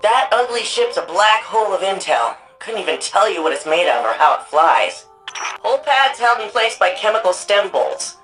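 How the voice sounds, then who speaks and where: A woman's voice: mid-range voice, very animated, normal speed, music in the background, natural voice, low-quality recording. An actress playing a wry, anxious woman archivist and resistance hacker in a sci-fi video game, speaking in a casual, conversational way. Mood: focused, matter-of-fact. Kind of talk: video game mission dialogue.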